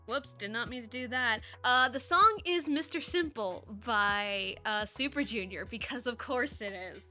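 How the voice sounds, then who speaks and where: A woman livestreamer chatting and reading aloud casually, expressive and exclamatory, often interrupting herself. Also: fairly high pitch, measured pace, faint music behind. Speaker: streamer reading fanfiction aloud.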